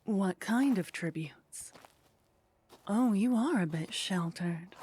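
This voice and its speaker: A woman's voice: mid-range voice, expressive, takes it slowly, a little mellow, slight background noise. Woman playing a languid, teasing vampire lady, ASMR. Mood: sly, condescending. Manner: languid, teasing, haughty, often dropping into whispers. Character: teasing, condescending female vampire, sly, centuries-worldly contact. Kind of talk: ASMR roleplay, soft intimate acting.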